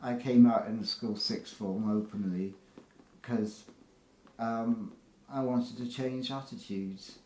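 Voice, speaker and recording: Mellow-voiced man, wry storyteller. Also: fairly low pitch. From West Wales. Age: 30s. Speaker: interviewee. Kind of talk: personal recollection. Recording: roomy recording with faint music.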